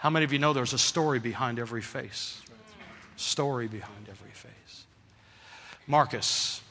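A man's voice: medium pitch, moderate pace, big-room sound, slight background noise. A man preaching a sermon, telling a story and calling on the congregation to answer him. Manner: animated, calls for congregation response.

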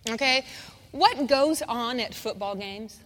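A woman with a high voice preaches a fervent sermon and keeps asking her congregation for an amen. She repeats key phrases and builds them up. Her voice rings through a big reverberant hall. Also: takes it slowly, a bit bright. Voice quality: singer. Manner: call-and-response, repeats phrases with rising fervor.

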